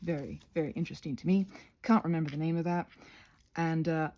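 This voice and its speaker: A woman voicing a chatty, crafty goblin with a gravelly, husky voice, rambling haltingly through an ASMR craft session. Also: deep voice, steady tone, mellow, natural voice. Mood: chatty, earnest. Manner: rambling, halting, goes off on tangents.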